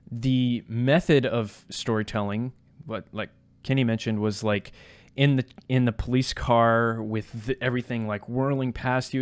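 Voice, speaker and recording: A man with a medium-pitched voice shares his views in a casual podcast review. His phrasing is informal and loose, with plenty of "like" dropped into the sentences. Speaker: podcast co-host. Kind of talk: casual video game review discussion.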